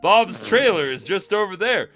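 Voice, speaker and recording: A man's voice: high-pitched, very animated, normal speed, fairly bright tone, soft background music. A man voicing an eager, earnest young fox sidekick in a fan fiction reading.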